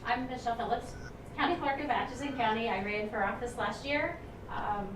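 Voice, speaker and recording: A woman's voice: on the high side, fairly quick, far from the mic, background noise. Brief: woman, county clerk, upbeat, heard from afar in an echoey room. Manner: upbeat, repeats phrases. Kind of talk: self-introduction at a meeting.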